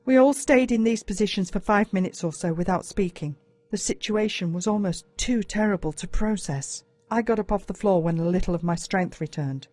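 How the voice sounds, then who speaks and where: A woman with an Australian accent reads a first-person story as a dramatic, expressive audiobook narrator. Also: mid-range voice, moderate pace.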